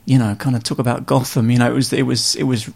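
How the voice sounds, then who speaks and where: A man's voice: mid-range voice, level tone, fast, vocal fry, a little mellow, breathy edge. A man hosting an interview, rambling eagerly with many repeated words and restarts. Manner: rambling, enthusiastic, with many repetitions. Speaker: interviewer host. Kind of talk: casual interview chat.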